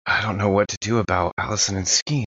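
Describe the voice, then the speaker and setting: A man's voice: lowish voice, steady tone, fast, fairly bright tone, dull audio. A man playing a tabletop game, casually roleplaying and describing his character's actions.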